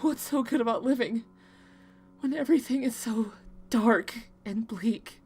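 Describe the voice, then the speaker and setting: A woman's voice: fairly high pitch, measured pace, darkish tone, faint music behind, lightly acted. A woman playing a grieving, despairing widow in an audio drama, stubbornly and emotionally arguing with her own mind. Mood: bleak. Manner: defiant, pleading, emotional. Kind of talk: amateur audio drama acting.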